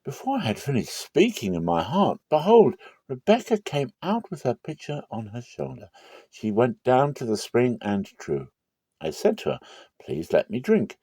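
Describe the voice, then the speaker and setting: A man playing a devout male servant who earnestly recounts his errand in a measured dramatic scripture reading. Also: mid-range voice, sing-song, mellow, husky, no room sound, voice pushed up.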